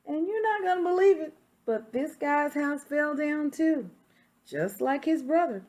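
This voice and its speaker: A woman's voice: high-pitched, lively intonation, a little mellow, voice pushed up. A woman voicing an aggrieved male wolf who tells his side of a children's story in a folksy, self-justifying, emphatic way. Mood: matter-of-fact, sly. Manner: dramatic, emphatic, with drawn-out stressed words. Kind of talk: storybook read-aloud for children.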